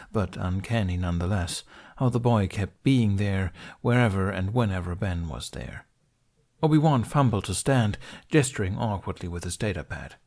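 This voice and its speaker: A man's voice: fairly low pitch, darkish tone. A man reading a fan fiction story aloud, narrating expressively and switching into character voices.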